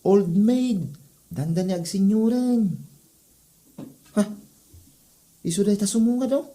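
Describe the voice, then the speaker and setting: Woman playing chiding classmate, Ilocano accent, very dark mellow voice, mid pitch, gossipy, comedy drama. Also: takes it slowly, lightly acted. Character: disapproving, gossipy classmate of the troublemaker.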